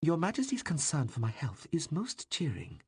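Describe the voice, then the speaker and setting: A male narrator voicing a composed woman court doctor who answers in a dry, sarcastic, measured way. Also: fairly high pitch, wildly swooping pitch, darkish tone, slightly rough, no room sound, pitched above the performer's own voice, heavily acted.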